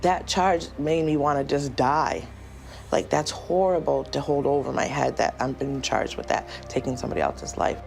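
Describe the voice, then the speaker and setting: Gravelly-voiced woman in her forties. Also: low-pitched, a little mellow, soft background music. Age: 40s. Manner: emotional, halting with ums. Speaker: jail inmate awaiting trial. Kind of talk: documentary interview.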